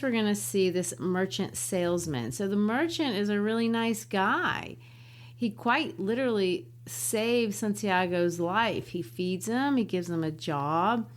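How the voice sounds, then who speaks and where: A woman co-hosting a casual book discussion podcast, talking in a lively way and laughing easily. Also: lowish voice. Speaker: podcast co-host.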